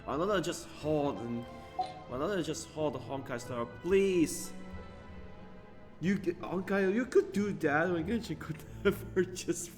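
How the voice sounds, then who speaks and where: A man giving casual, rambling commentary while playing a game, laughing and clearing his throat often. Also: fairly high pitch, slow. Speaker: gaming streamer. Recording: game music behind.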